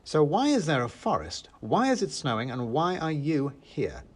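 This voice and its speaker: Cheeky storybook hedge wizard played by a man: cocky, lively, voice lifted and expressive. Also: on the high side, normal speed, voice pushed up. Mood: defiant. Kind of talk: first-person storybook dialogue.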